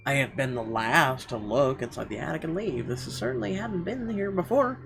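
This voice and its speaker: A man's voice: mid-range voice, lively intonation, moderate pace. A man reading a visual novel's first-person narration aloud as a let's player, with dramatized muttered asides. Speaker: video game let's player. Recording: clearly noisy background.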